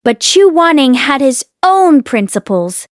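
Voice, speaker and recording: High-voiced woman reading aloud, very dry sound. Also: very animated, measured pace, dry recording. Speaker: audiobook narrator and host. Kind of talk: expressive fiction read-aloud.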